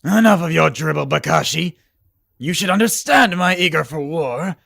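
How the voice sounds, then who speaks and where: A man voicing a stern, hot-headed alien war commander in an animation, with a gruff, commanding and impatient delivery. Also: on the high side, lively intonation, normal speed, bright, forceful tone, full character voice. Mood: stern, scornful. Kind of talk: amateur animation voice acting.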